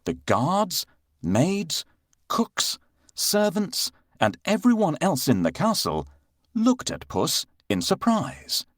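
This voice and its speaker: A man narrating a children's story aloud in a dramatic, expressive read-aloud style with deliberate phrasing. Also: fairly low pitch, no room sound, clean studio sound.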